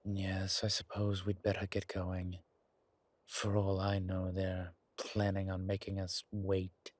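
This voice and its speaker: A weary old vampire lord, acted by a man for an audio drama. The voice is low and husky and moves slowly, with dry sardonic wit. It sounds close and dry, with old-fashioned formal phrasing. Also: completely flat delivery, no echo. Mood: wry, resigned. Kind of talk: dramatic audio roleplay acting.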